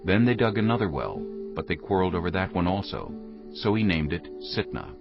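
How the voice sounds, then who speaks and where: A man's voice: low voice, big pitch swings, brisk, gravelly. A man narrating a dramatized scripture reading in a solemn storytelling style. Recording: dull recording over soft music.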